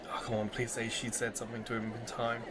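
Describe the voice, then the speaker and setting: A man's voice: mid-range voice, completely flat delivery, fast talker, a loud crowd, heavy background noise. Man giving casual gaming commentary. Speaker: gaming YouTuber host. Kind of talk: casual let's-play commentary.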